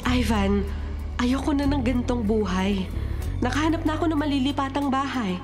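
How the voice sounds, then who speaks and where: A woman playing a wronged, resolute young woman in a radio drama, speaking in a stern, deliberate, dramatic way. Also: sits high, close to an everyday voice. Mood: indignant. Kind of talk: Filipino radio drama acting. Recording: dramatic music behind.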